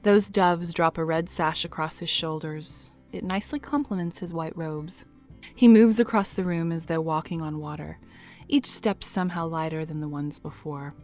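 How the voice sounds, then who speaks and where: A woman narrating an audio drama in a soft, storybook manner. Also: sits low, steady tone, moderate pace, faint music behind.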